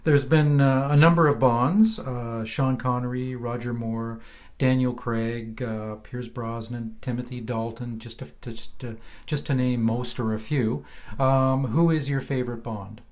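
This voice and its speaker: A male podcast host speaks at a middle pitch as he interviews a guest. His phrasing is halting, feeling its way toward each question. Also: fairly even intonation, darkish tone.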